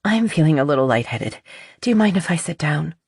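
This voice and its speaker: An audiobook narrator voicing a nervous, earnest middle-aged woman confessing, with a halting, shaky delivery. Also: medium pitch, big pitch swings, gravelly, darkish tone, husky, no room sound, clean studio sound, full character voice. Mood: weary, apologetic.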